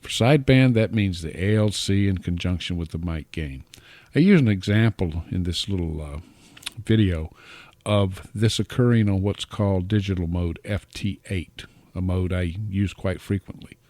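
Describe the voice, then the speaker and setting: Mature man, lowish voice, deliberate phrasing, informal hobbyist demo, close dynamic mic, no room sound. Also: a little mellow, no echo. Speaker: amateur radio hobbyist reviewing microphones. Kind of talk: informal explanatory product demo narration. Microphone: close dynamic podcast or studio microphone.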